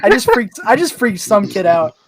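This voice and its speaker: A young adult man chatting casually as a podcast guest, with a joking, animated manner. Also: sits high, moderate pace, dry recording. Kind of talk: casual chat.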